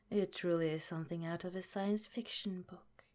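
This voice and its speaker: Woman playing a softly whispering, scheming lab director. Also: on the low side, steady tone, measured pace, natural voice. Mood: hospitable. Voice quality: soft and half-whispered. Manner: sweetly hospitable, with a scheming edge. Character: sly, gentle woman scientist running an underground lab. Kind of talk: ASMR roleplay.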